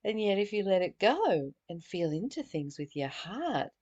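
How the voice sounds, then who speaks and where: A woman's voice: medium pitch, sing-song, moderate pace, darkish tone, muffled recording. A woman reading aloud from a spiritual study text and adding her own earnest, reflective commentary, sometimes stumbling and repeating phrases. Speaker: spiritual teacher leading a book study.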